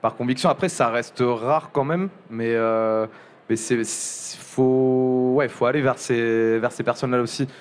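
A young man speaking casually as an interview guest, halting and restarting often, with many fillers. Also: medium pitch, fairly even intonation, a little hiss or hum. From Montpellier area. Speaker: journalist guest.

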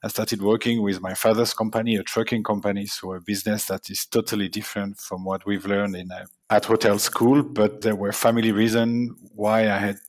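A man in his fifties from Switzerland, a guest on a podcast interview, recounting his career in a measured way with fillers and restarts. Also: on the low side, little pitch movement. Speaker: guest, people management consultant.

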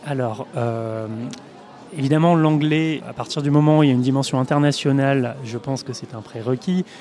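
Measured man with a mid voice, hesitant. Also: medium pitch, a little mellow. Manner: measured, frequent hesitations and repeated words. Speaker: in-house lawyer, guest. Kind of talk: event interview answers. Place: busy trade fair.

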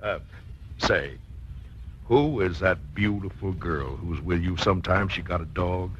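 An actor playing a naive, folksy Oklahoma oilman and mama's boy, halting and bumbling, in a 1950s radio comedy. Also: low voice. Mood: shy, friendly. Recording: noisy, dull 1950s radio recording.

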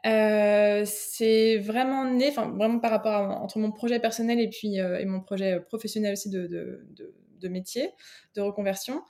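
A woman's voice: medium pitch, fairly even intonation. Hesitant French woman interior designer. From France. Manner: halting, many fillers and restarts, soft and thoughtful. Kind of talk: casual interview.

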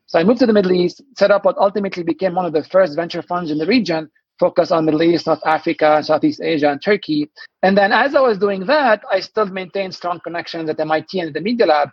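Man from Lebanon, husky, dry muffled recording, fluent storyteller, forties. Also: sits high, fast, dry recording. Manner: fluent, storytelling, some restarts. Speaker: venture investor guest. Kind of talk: podcast interview, telling his background.

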